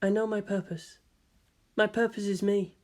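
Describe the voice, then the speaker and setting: A man voicing a quiet, detached teenage girl pilot who speaks softly, formally and cryptically. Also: high-pitched, moderate pace, close to an everyday voice. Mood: solemn. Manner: soft, flat, formal.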